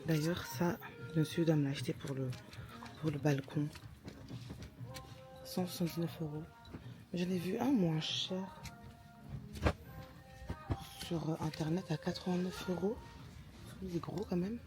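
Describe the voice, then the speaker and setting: A young woman chatting casually in a vlog, with a stammer that makes her repeat and restart words in a halting way. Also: fairly low pitch, over music. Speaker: lifestyle vlogger, mother of young children. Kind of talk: casual chat to camera.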